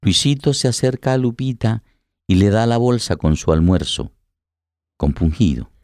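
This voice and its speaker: A man's voice: fairly low pitch, expressive, moderate pace, a little mellow, dry recording, studio quality. A man giving a dramatic scripted narration of a radio play. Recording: plucked guitar music under voice.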